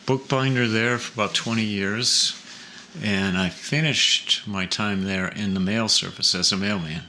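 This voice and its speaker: A man's voice: on the low side, fairly even intonation, measured pace, pushed and bright, slight background noise. An older man chatting casually as a musical guest in an interview. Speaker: musical guest, blues guitarist.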